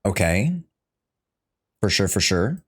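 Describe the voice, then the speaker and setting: A man's voice: on the low side, sing-song, slow, a bit bright, slightly rough. A young man chatting casually as a livestreamer, reacting to a game story in an informal, joking, sweary way. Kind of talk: casual livestream chat and commentary.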